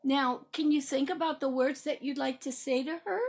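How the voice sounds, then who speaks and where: A woman playing a patient teacher who gently and deliberately coaches a child in a children's role-play story. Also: high voice, steady tone. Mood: encouraging. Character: patient, soothing adult teacher guiding upset child.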